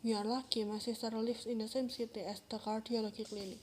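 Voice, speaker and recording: A woman's voice: on the high side, little pitch movement, quick pace, a little mellow, slight background noise, natural voice. A woman playing a weary, practical mother who reasons insistently and defensively, in an amateur radio drama.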